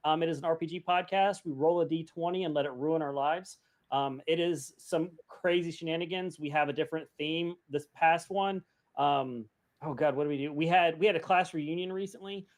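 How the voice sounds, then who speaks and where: A man's voice: fairly high pitch, brisk. A man chatting casually as a co-host on a podcast. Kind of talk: casual chat.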